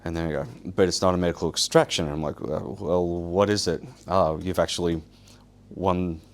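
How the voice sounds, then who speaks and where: A man's voice: deep voice, steady tone, normal speed. A man whose first language is Polish, giving an informal first-person talk to an audience in a casual, self-deprecating, wry manner. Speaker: guest speaker, survival show winner.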